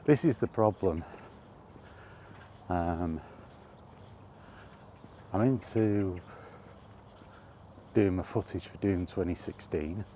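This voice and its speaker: Male gaming vlogger, low dark voice, unhurried and meandering, some outdoor background noise. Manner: hesitant, meandering, thinking aloud. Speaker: gaming content creator and podcaster. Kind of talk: casual rambling vlog chat.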